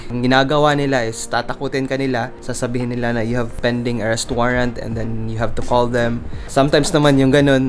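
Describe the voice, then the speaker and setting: A man from the Philippines with a Filipino accent talks casually and haltingly, a native Filipino speaker. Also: mid-range voice. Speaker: vlogger. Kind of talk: casual conversation and commentary.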